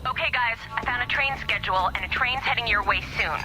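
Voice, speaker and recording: Woman acting as female mission handler, loud music behind. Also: a little high, brisk, natural voice, poor audio. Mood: focused, informative. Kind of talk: video game cutscene acting.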